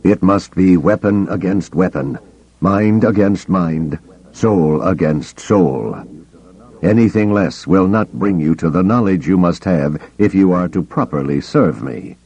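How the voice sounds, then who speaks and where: Old male Jedi villain, acted by a male narrator: low, mellow, deliberate, pompous and condescending menace for a space-opera audiobook. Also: slightly rough, heavily acted. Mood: stern, reproving.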